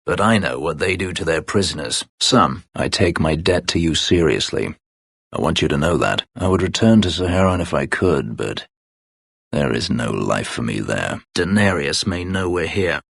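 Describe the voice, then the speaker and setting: A brooding video game warrior, once a slave, with a very deep and husky voice. He is proud and guarded, choosing terse, formal words and delivering them dryly. The sound is close and dry. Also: lively intonation, normal speed, a bit bright, dry recording, heavily acted. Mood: subdued, earnest. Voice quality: husky, low, gravelly-edged voice. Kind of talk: video game character voice lines.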